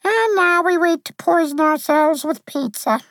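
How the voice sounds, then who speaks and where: Male narrator as tiny female fairy, very high pitch, deadpan, snappish, fantasy storybook. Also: unhurried, no echo, clean studio sound, exaggerated voice. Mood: flat, resigned. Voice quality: flat delivery.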